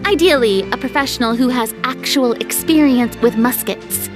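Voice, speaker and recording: A woman's voice: fairly high pitch, moderate pace, over music, natural voice. A woman playing a proud, theatrical young star turned film director in a video game, haughty and self-important. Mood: businesslike, pensive.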